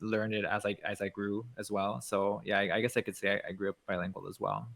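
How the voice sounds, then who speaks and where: A man from Puerto Rico, a native Spanish speaker, with a fairly low, gravelly voice. He chats loosely as a guest and fills his talk with likes and ums. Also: little pitch movement, fast, a bit bright. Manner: loose, rambling, heavy with fillers. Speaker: guest translator. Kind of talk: casual interview chat.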